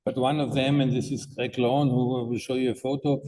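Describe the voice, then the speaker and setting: A man with an Austrian accent giving a conference talk, speaking haltingly with many fillers and restarts. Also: mid-range voice, little pitch movement, warm tone. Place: conference hall. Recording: distant mic with faint noise.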